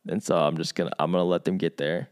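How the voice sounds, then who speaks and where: A man with a notably low, deep voice talks in a loose, unscripted way, circling back on his own phrases. He reflects aloud as a podcast co-host. He grew up in Virginia. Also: quick pace. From United States, grew up in Virginia. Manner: thoughtful, many restarts and asides, animated when quoting. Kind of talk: casual conversational chat.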